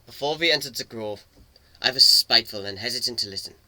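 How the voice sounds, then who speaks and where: Man voicing a sharp-voiced, guilt-ridden crewman. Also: medium pitch, quick pace, no room sound, low-quality recording. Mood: guilty, grieving. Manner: earnest, confessional. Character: remorseful, self-blaming proud male crew member. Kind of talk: dramatic reading of fan fiction.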